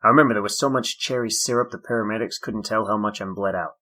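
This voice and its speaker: A man playing a dour police detective with a husky, forceful voice, needling with dry sarcasm in a noir comedy audio drama with music behind. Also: lowish voice, quick pace. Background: background music.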